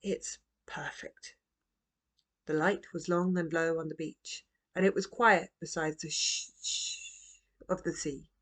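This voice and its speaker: A woman narrating a children's storybook as its author, in a gentle, expressive manner. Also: low-pitched, a little slow. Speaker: author reading own book.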